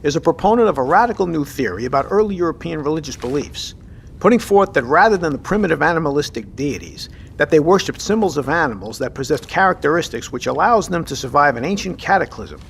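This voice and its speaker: An actor playing a decisive, gruff navy submarine captain in an audio drama, with commanding, clipped, profane delivery. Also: male voice, on the high side, expressive, quick pace, a little hiss or hum. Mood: brisk, probing. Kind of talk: scripted audio drama acting.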